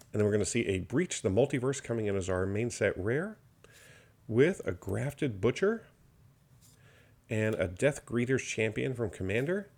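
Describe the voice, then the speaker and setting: A slightly hoarse man opening trading card packs, naming each card in a steady listing commentary with mild enthusiasm. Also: lowish voice. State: slightly hoarse, recovering from illness. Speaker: card box opener. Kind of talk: unboxing commentary.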